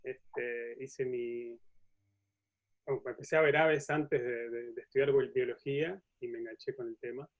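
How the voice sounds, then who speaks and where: A man's voice: medium pitch, steady tone, unhurried. Uruguayan man lecturing, slightly muffled audio. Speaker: museum curator and professor giving a talk. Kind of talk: informal science lecture with slides. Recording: dull, lo-fi audio.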